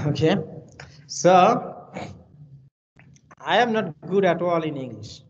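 A man with a Bangladeshi accent, English his second language, lectures a class over a video call. He rambles and repeats himself as he tells stories. His voice sits a little high, and the audio is dull and lo-fi with a faint hiss. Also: lively intonation, slow pace. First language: Bengali. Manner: rambling, repetitive, friendly storytelling. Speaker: university lecturer. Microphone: computer mic on a video call.

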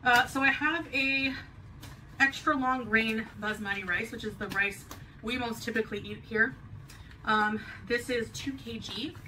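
A woman's voice: a little high, measured pace, pushed and bright. Chatty woman vlogging from her kitchen. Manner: chatty, rambling, light laughs. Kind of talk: grocery haul vlog. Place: home kitchen, indoors. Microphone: camera on the counter. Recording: distant camera mic, faint room hum, played back.

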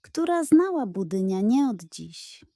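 Unhurried, mellow woman telling bedtime stories. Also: mid-range voice, very animated, no echo, studio quality. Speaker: storyteller. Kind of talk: children's bedtime story narration.

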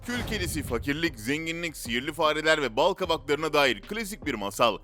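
A man's voice: fairly high pitch, big pitch swings, brisk. A man reads scripted narration in a dramatic, rhetorical storytelling way. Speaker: narrator of a list video. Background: background music.

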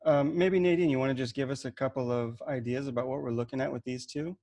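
A man's voice: medium pitch, quick pace. A man in his thirties moderating an online panel on a video call from a room at home, talking in a loose, easygoing way with frequent restarts. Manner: relaxed, repeats words and restarts often. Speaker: webinar host and moderator. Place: home room with shelves of gems.

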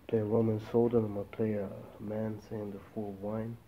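A man talking casually as he films a handheld vlog, repeating short phrases and giving shout-outs. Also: on the low side, monotone, fairly quick. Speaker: vlogger. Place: church back rooms, indoors. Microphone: handheld phone. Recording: muffled noisy phone recording.

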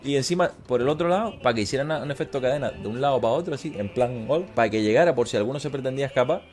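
Fast-talking man, gaming streamer. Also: medium pitch, lively intonation, a little mellow. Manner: crude, slangy, joking. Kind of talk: casual livestream chat and storytelling during gameplay. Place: small room at home, indoors.

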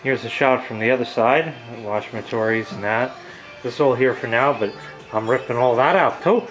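A man's voice: medium pitch, normal speed, over music, low-quality recording. A man giving a casual, rambling walkthrough as he films home repairs, swearing freely with a wry, crude manner. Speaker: homeowner filming his plumbing repair.

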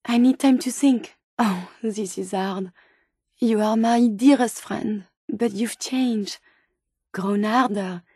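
An actress voicing a gentle, earnest female robot scientist turned human in a video game, with a French accent and formal, precise phrasing. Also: medium pitch, takes it slowly, husky, no room sound, clean studio sound. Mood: hurt, upset. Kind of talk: video game character dialogue.

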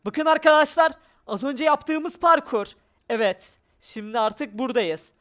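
A gamer giving excited, exclamatory gameplay commentary with role-play, narrating every action aloud in speech. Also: high voice, unhurried, noisy background. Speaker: gaming video creator. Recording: music behind the voice. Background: background music.

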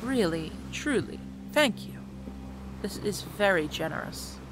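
Actress as a friendly young adventurer, medium-pitched. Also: female voice, lively intonation, unhurried, background noise, lightly acted.

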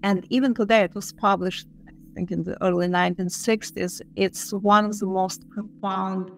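A woman's voice: mid-range voice, steady tone, normal speed, creaky. A woman speaking as an interviewed expert, explaining off the cuff in an emphatic, scholarly way. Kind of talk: unscripted explanatory interview talk. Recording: roomy, echoing interview audio.

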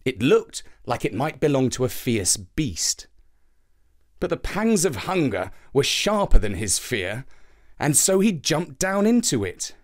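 Guy reading a kids folktale, slow and super animated, expressive storybook narration. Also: mid-range voice.

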